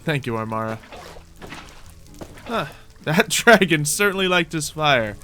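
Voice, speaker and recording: A man's voice: on the high side, lively intonation, a little slow, sharp tone, faint music behind. A man commentating on his gameplay in character, theatrical and mock-boastful, muttering to himself and repeating his phrases. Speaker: gaming video host.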